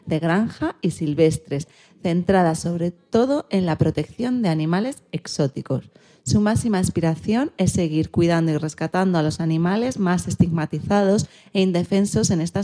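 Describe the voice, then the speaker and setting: A woman's voice: fairly low pitch, mellow. A woman presenting a magazine show, partly reading from a script.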